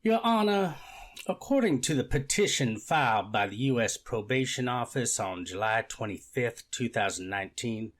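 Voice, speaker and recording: Guy playing a prosecutor in a court reenactment, dry formal reciting, medium pitch, methodical. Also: expressive, normal speed, natural voice. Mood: formal, factual. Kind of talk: readers theater courtroom reenactment.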